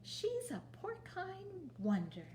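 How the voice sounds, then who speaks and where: A woman voicing a bewildered, gentle husband in a children's storybook. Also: very high-pitched, huge pitch swings, slow pace, slight background noise, voice pushed up, exaggerated voice.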